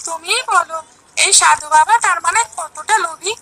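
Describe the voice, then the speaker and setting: A woman voicing a very high, plaintive village boy. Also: normal speed, noisy background. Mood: aggrieved, earnest. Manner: plaintive, complaining. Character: aggrieved poor village boy, earnest and indignant. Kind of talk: Bengali cartoon story dialogue.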